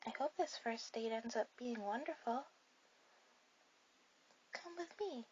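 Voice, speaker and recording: A woman voicing a shy, sweet, bookish girl on a first date in an ASMR roleplay, speaking softly and hesitantly to the listener. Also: a little high, lo-fi sound, a little hiss or hum, natural voice. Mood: touched, eager. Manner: soft, hesitant, halting delivery.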